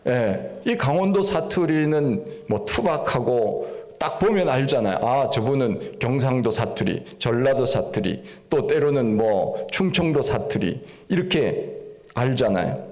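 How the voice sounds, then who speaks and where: Folksy Korean pastor from Gangwon preaching. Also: male voice, medium pitch, expressive, a little slow, a little mellow. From Gangwon Province, Korea. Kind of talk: sermon.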